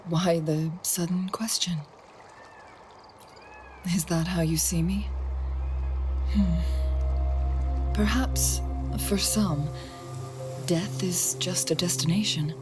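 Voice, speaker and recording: A shrewd, mysterious young woman strategist from a video game. Her voice sits a little low and moves very slowly, with elegant composure. Prominent music plays behind her. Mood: gently musing. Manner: measured, composed, deliberate, elegant.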